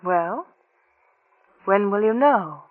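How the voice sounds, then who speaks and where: An actress playing a scheming, sweetly devoted wife in 1940s radio mystery style, with sugary politeness over a cold edge. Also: female voice, mid-range voice, lively intonation, drawn-out words, phone-quality sound. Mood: falsely cheerful. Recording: noisy vintage 1940s radio recording.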